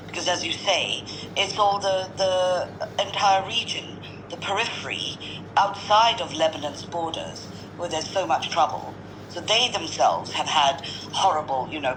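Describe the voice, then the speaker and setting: A woman giving news analysis on radio, speaking gravely and emphatically, piling up phrases. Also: lowish voice, background noise.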